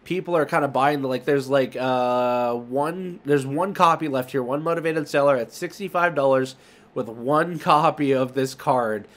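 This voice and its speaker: A man hosting a market video, giving casual, rambling commentary and thinking aloud as he goes. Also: medium pitch. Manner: rambling, thinking aloud while browsing listings. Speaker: host of a trading card market video. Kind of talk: casual screen-share commentary.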